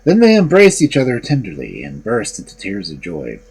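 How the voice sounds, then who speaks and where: A man's voice: lowish voice, huge pitch swings, normal speed, a little mellow, breathy edge, poor audio. A man narrating fairy tales expressively as a storyteller and host.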